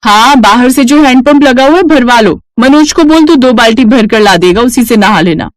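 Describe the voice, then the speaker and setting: Brisk, chiding elder sister-in-law played by a woman. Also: fairly high pitch, expressive, no echo, lightly acted. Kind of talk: cartoon family story acting.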